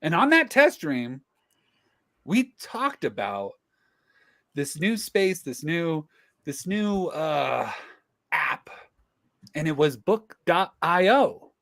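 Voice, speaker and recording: Playful high-voiced man with gravelly edge. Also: big pitch swings, takes it slowly, long pauses, a bit bright. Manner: playful, joking, storytelling. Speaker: livestream co-host. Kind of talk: casual livestream chat.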